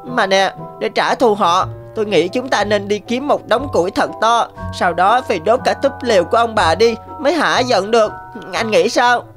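A woman's voice: mid-range voice, moderate pace, hardly any pauses, faint music behind, lightly acted. A woman voicing a small, clever cartoon rabbit with an earnest, coaxing manner. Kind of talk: animated cartoon dialogue.

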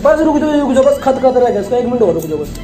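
A man's voice: very high voice, very animated, fast, echoey room, over music. A young man playing a brash barber in a comic sketch, bossing and stalling his customers in a small shop. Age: young adult.

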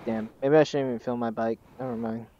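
A man's voice: medium pitch, fairly even intonation, fast. Laid-back man chatting on a night ride. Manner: laid-back, joking, trails off mid-sentence. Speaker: motorcycle vlogger. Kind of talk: casual chat while riding. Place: outdoors on a motorcycle on city streets at night. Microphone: helmet or bike-mounted action camera. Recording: muffled helmet mic with road noise.

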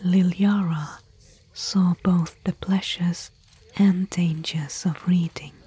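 A woman playing a thoughtful, bookish expedition companion in gentle, musing, whispery ASMR roleplay. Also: lowish voice, steady tone, slow pace, no room sound, exaggerated voice. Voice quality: breathy, whispery. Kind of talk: soft-spoken ASMR roleplay.

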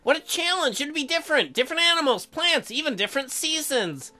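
A man voicing an earnest, halting young farmer in love in a visual novel. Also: extremely high pitch, animated, pushed and bright, faint music behind, voice pushed up, heavily acted. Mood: dreamy. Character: earnest young farm man, dreamy lover.

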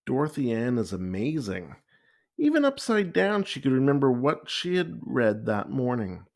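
A man reading a children's picture book aloud with expressive, deliberate storytelling. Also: medium pitch. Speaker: story time reader.

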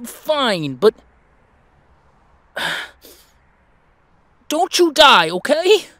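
Guy doing a young werewolf lad, high voice, worried n pleading, excitable, audio drama. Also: drawn-out words, no echo, exaggerated voice. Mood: worried, reluctant. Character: eager, anxious young male werewolf, loyal little brother.

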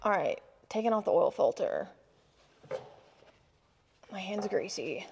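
A woman casually narrating her own car maintenance in her home garage, chatty and quick to laugh off her own mishaps. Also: medium pitch, animated, vocal fry, loud music behind the voice. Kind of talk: casual how-to narration while working. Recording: echoey shop with long reverb.

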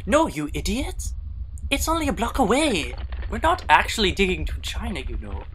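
A silly, boastful little dog in a spoof cartoon , played by a woman. He is exclamatory and easily exasperated, with a very animated, swooping delivery in a middling female pitch. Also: moderate pace, a little hiss or hum, lightly acted, poor audio. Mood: impatient. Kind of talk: amateur comedy cartoon parody dub.

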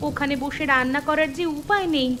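A woman voicing a gentle, anxious village mother in a cartoon fairy tale, polite and homely. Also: high voice, brisk, noisy background, lightly acted. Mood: worried. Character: gentle, worried village mother, hospitable and poor.

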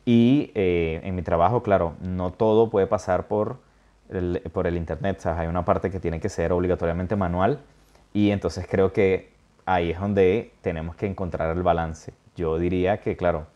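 A man with a very low voice hosts a relaxed interview, thinking aloud as he goes, with pauses, fillers and frequent check-ins to his guest. The sound is dull and lo-fi. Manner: thoughtful, hesitant, many fillers and check-ins. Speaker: interview host. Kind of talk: casual interview chat.

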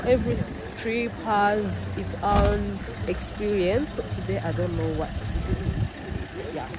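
Hoarse young woman vlogging on a phone. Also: mid-range voice. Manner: chatty, addresses viewers as guys. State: hoarse from a flu. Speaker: travel vlogger. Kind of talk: casual vlog narration. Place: outdoors by the road and in a moving minibus. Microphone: phone at arm's length. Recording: loud vehicle and street noise.

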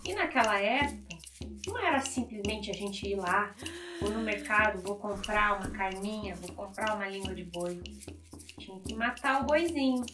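A young woman from Brazil tells a folk tale and teaches rhythm to children, slow and emphatic on key words, seated on the floor of a bright room and recorded by a camera some distance away. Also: mid-range voice, expressive. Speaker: music teacher. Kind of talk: storytelling lesson for children. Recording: echoey room, noisy, mic far off.

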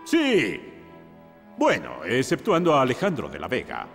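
An actor playing a scheming, pompous middle-aged mayor in a cartoon, theatrical, oily and blustering. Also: male voice, mid-range voice, huge pitch swings, unhurried, fairly bright tone, big-room sound, faint music behind, full character voice. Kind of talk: animated cartoon dubbing.

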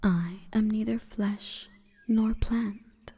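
A woman voicing a seductive, solemn female plant spirit in a breathy, formal, commanding whisper for an ASMR roleplay. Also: mid-range voice, steady tone, extremely slow, mellow, full character voice. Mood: solemn, hushed. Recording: long reverberant echo.

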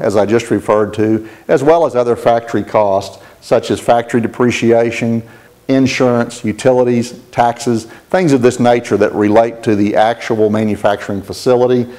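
A middle-aged man teaching accounting in a studio, explaining terms in a measured, clear scripted lecture. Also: medium pitch, a little mellow. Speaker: accounting instructor. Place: studio with presentation screen.

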